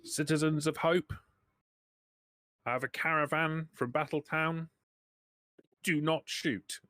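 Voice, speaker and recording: A man's voice: on the high side, fairly even intonation, slow, bright, forceful tone, no echo, voice pushed up. A man voicing a friendly, effusive male caravan trader in a tabletop roleplaying game. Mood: calling out, wary.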